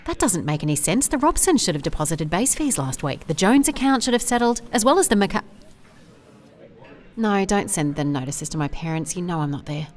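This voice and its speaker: An actress playing a driven, awkward private investigator in an audio drama, speaking in a formal, wordy, dryly self-deprecating way. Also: female voice, lowish voice, sing-song, brisk. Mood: flustered, exasperated.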